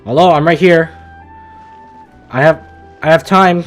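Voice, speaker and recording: A woman's voice: low voice, unhurried. A woman live-streaming a game, casually commenting and reading along with the dialogue. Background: game music.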